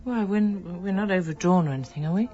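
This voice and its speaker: A woman's voice: on the low side, brisk, dark tone, lightly acted. An actress playing a dreamy wife who casually coaxes her husband in a radio comedy. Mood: casual, coaxing. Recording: slightly dull audio, music behind.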